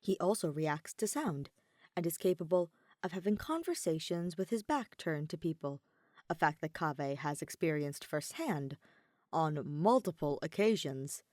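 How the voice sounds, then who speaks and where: Expressive woman narrator, lower voice, lively pitch. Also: no echo, clean studio sound. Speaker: podfic narrator reading fan fiction.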